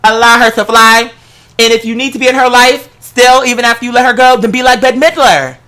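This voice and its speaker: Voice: mid-range voice, fairly even intonation, brisk, sharp tone. An American vlogger giving casual relationship advice in a sassy, playful, theatrical way, often calling the listener girl. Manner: theatrical, bursts into song. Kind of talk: casual animated advice chat.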